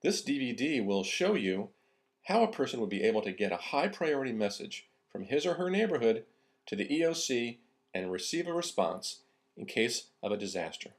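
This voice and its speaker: Male narrator, scripted instructional read, medium pitch, very varied intonation. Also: sing-song, normal speed.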